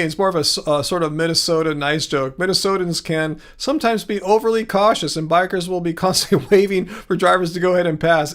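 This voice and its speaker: Man, livestream host, casual rambling chat, gravelly, a little high-pitched, home studio mic. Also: fairly bright tone. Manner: rambling, with frequent restarts and chuckles.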